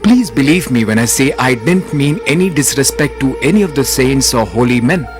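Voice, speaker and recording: A man acting a wise, steadfast royal minister in a respectful, earnest manner for an animated moral tale. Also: medium pitch, moderate pace, over music, lightly acted. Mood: apologetic.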